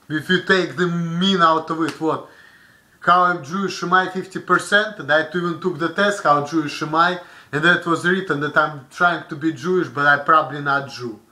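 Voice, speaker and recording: A young man with a Russian accent rants into his webcam from a small bedroom, heated and swearing. His voice is bright and piercing, pitched a little high for a man. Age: young adult. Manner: heated, profane, gesturing a lot. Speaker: vlogger. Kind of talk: angry webcam rant. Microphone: webcam built-in mic.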